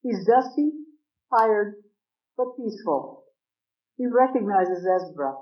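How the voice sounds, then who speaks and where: A man narrating a church dramatic reading in a slow, steady voice. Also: high voice. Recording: echoey church, dull sound.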